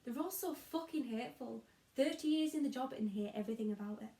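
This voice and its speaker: A woman's voice: fairly high pitch, quick pace, warm tone, natural voice. A woman playing a weary, disillusioned young schoolteacher in an introspective, confiding stage monologue. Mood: bitter. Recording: echoey room.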